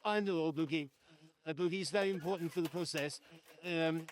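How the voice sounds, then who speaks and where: Casting: male event host, high-pitched voice, hesitant casual walkthrough delivery, noisy recording. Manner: hesitant, unsure-sounding. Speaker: escape room event host. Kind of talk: casual narrated behind-the-scenes walkthrough.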